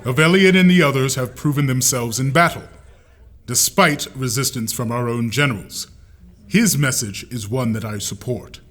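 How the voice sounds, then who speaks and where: A man's voice: medium pitch, moderate pace, fairly bright tone, natural voice. An actor playing a composed, plain-spoken male admiral lending firm support in an audio drama. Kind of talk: full-cast audio drama speech.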